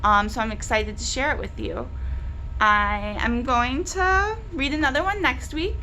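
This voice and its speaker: A woman's voice: sits high, a little slow, pushed and bright, slight background noise, low-quality recording. A woman storyteller narrating a children's story aloud, expressively and directly to young listeners. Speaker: library storyteller.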